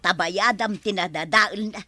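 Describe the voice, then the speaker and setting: A woman's voice: mid-range voice, measured pace, no room sound. A woman playing a nagging, exasperated villager in a radio comedy drama, with an Ilocano accent and a scolding manner. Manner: scolding, animated. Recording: music under the voice. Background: music.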